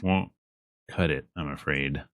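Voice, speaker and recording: A man's voice: very deep voice, unhurried, a bit bright, no room sound, clean studio sound, voice pushed down, full character voice. A man voicing a bossy, teasing, easily embarrassed young woman from a visual novel in a demanding manner. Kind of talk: visual novel character reading.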